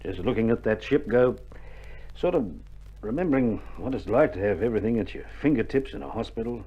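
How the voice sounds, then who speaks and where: An actor playing a weary but hopeful, stoical Australian army medic in a dramatised wartime radio play, with a laconic, slangy delivery. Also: male voice, medium pitch, animated, a little fast, slightly rough. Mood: wistful, downcast. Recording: muffled 1960s vinyl record, music behind.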